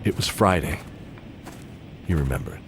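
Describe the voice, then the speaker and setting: Male narrator, deep voice, scripted fantasy storytelling over background ambience. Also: animated, normal speed, fairly bright tone. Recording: clear background ambience noise.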